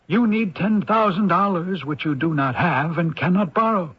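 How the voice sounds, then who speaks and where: A man's voice: high voice, normal speed, slight background noise, lightly acted. An actor playing a polite, resigned young male lawyer, formal and sympathetic, in an old-time radio drama. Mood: sympathetic, firm. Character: polite, resigned young male attorney delivering bad news. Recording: dull, muffled radio drama recording.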